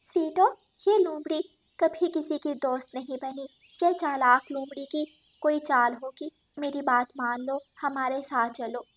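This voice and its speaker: A woman voicing a caring, worried mother bird in an animated moral story. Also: high-pitched, measured pace, pitched above the performer's own voice. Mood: concerned, urging.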